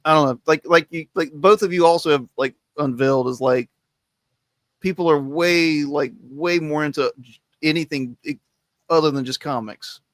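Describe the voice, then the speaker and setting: A man hosts a relaxed online roundtable, chatting loosely and laughing often. His voice sits a little high. The sound is dry and close, with no room echo. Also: moderate pace. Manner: rambling, laughs often, filler-heavy. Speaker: host of a comics stream. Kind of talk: casual roundtable chat. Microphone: remote stream mic.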